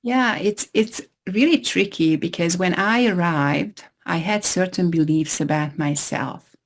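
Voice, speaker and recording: A woman with a Hungarian accent who speaks English as her second language, answering as a therapist guest in an interview. She talks hesitantly, often restarting her sentences, in a somewhat low voice. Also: a little slow. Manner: hesitant, many restarts and fillers. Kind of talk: interview conversation.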